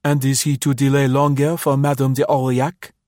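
Audiobook bit part: stiff, dutiful orderly done by a male reader, mid-pitched mechanical recital, dry sound. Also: little pitch movement, fairly quick, a little mellow, no room sound, lightly acted. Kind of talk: audiobook character acting.

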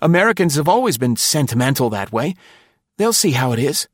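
A man's voice: medium pitch, very animated, fairly quick, dry recording, voice pushed up. A man voicing a paranoid, fearful middle-aged man who turns bitter and desperate, near sobbing. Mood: pleading. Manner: frantic, pleading, close to sobbing.

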